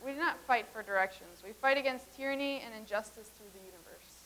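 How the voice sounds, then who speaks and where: A woman's voice: a little high, fast, sharp tone, poor audio. A woman in a staged reading playing an earnest, formal rebel from the future. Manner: earnest, formal and matter-of-fact.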